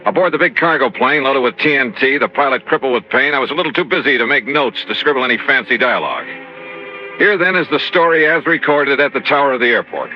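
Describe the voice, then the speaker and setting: A man playing a brisk, wry insurance investigator in a 1950s radio drama, on a dull, noisy old recording. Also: medium pitch, animated, faint music behind, natural voice. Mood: dry narration. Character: brisk male insurance investigator, a passenger forced to fly.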